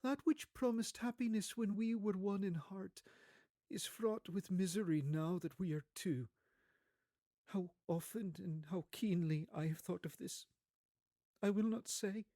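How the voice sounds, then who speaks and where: A man voicing a fair young woman, gentle and sorrowful yet firm, speaking softly and formally in a dramatized storybook reading. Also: high voice, unhurried, no echo, clean studio sound, pitched above the performer's own voice. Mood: earnest, resigned. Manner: soft, earnest, formal phrasing.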